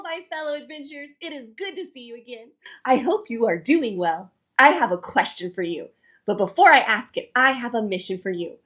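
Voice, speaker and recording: A woman hosting a read-aloud show for children, presenting from a script and addressing young listeners directly. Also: fairly high pitch.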